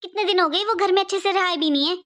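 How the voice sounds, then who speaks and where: A woman's voice: very high-pitched, fast talker, a bit bright, slight background noise. A woman playing a middle-aged mother-in-law in a cartoon family drama, a fretful matriarch who talks in a rambling, repetitive, motherly way.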